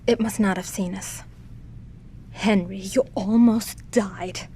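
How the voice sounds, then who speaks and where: An actress playing a gentle, shaken survivor in an audio drama, speaking softly, consoling and somber. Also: female voice, mid-range voice, steady tone, takes it slowly, slight background noise. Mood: shaken, urgent. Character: gentle, shaken woman survivor tending an injured friend.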